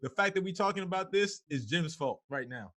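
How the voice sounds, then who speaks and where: Earnest bright-voiced male host. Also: high voice, lively intonation, fairly quick. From Haiti. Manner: agreeable, keeps saying "right" and "yeah", earnest. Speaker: podcast host. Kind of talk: casual conversation.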